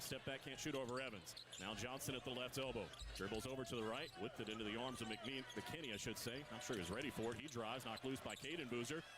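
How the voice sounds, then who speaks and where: A male play-by-play announcer calls the game in a brisk, steady patter at a middle pitch, his voice jumping up whenever a shot goes up. Loud arena noise and bouncing balls surge behind him throughout the live broadcast. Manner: brisk, rising sharply on big plays. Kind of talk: live radio basketball play-by-play. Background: arena crowd and bouncing ball.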